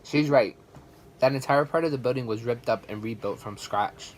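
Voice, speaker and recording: A woman's voice: rumbling low, moderate pace, darkish tone, slight background noise. A woman playing a skeptical, curt heir in a 1940s radio play. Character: skeptical, curt grown child of a dead tycoon.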